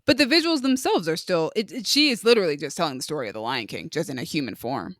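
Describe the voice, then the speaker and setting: A man's voice: a little high, expressive, fast talker, a bit bright, no room sound, studio quality. A man co-hosting a casual movie review podcast, enthusiastic and emphatic. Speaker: podcast co-host.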